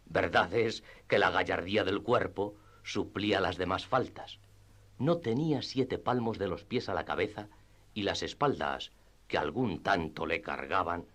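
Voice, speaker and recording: A man narrating a classic literary tale for radio theatre in a measured, formal storytelling manner. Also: deep voice, animated, fairly bright tone.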